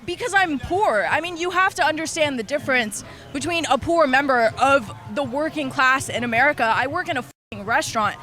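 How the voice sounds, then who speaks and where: Young street interviewee, defiant and swearing, high-pitched, outdoors. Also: brisk, few pauses, pushed and bright. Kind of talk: heated street interview argument.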